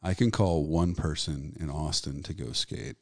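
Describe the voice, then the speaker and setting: A man's voice: very deep voice, unhurried, dry recording, clean studio sound. A man, hosting a casual podcast and telling stories in a laid-back, profane way. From Texas. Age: 40s.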